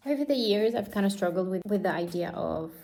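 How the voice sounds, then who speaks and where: Late-20s woman vlogging, chatty and thoughtful, mid-pitched voice. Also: expressive, fairly quick, dark tone, faint music behind. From Romania. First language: Romanian. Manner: chatty and reflective, with light laughs. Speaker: lifestyle vlogger. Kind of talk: casual vlog narration.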